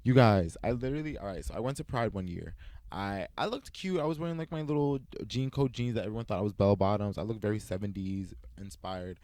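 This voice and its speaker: Animated young man, low dry voice. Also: quick pace, no echo. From United States. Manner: animated storytelling, quoting himself in a high playful voice. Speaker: vlogger. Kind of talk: casual sit-down chat.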